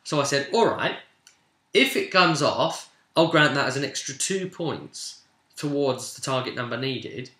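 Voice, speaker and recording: A British man casually recounting a tabletop game as its game master, in an animated storytelling way. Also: mid-range voice. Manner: animated, acts out players' remarks in quotes. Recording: roomy, echoing room sound.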